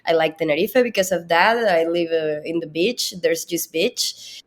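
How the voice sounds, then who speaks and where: A young Venezuelan woman with a Spanish accent, a guest in an interview, easygoing and giggly, pausing often with uhs as she searches for English words. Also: fairly low pitch. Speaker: podcast guest. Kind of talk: casual interview chat.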